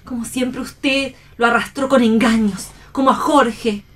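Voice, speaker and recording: Una actriz interpreta a una hija joven, inocente e idealista, dolida por amor, con tono serio de radioteatro. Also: female voice, fairly high pitch, fairly even intonation, unhurried, a bit bright, far from the mic. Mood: hurt reproach. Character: wounded, idealistic innocent young daughter, romantic rival. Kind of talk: theatrical radio play reading.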